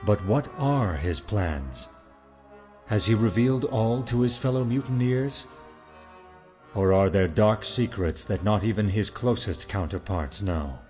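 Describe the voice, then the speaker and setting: A man's voice: on the low side, expressive, unhurried, dark tone, soft background music. A man narrating an audio drama serial from a script in a dramatic style.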